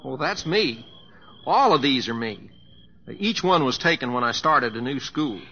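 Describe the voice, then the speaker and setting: An actor playing a folksy, humble, teasing young cowboy with a Southwestern American accent and a homespun, hesitant drawl, in old radio drama style. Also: male voice, medium pitch, expressive, a little slow, pushed and bright. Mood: wry, reminiscing. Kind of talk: 1940s radio acting. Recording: muffled old radio broadcast with music.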